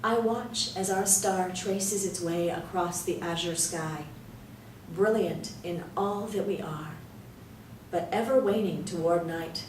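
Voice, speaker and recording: A woman reciting poetry dramatically, in a measured, theatrical way with deliberate pauses. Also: fairly low pitch. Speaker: poetry reader. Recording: roomy echo, distant mic, light noise.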